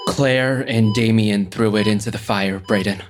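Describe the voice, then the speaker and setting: A man's voice: fairly low pitch, steady tone, unhurried, music in the background, natural voice. An actor in an audio drama playing an agreeable, earnest teenage boy who talks in a casual, plainspoken way. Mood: earnest, urgent.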